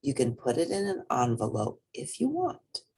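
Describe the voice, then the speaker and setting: Woman as a patient, husky mother rabbit. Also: extremely low pitch, huge pitch swings, normal speed, darkish tone, full character voice.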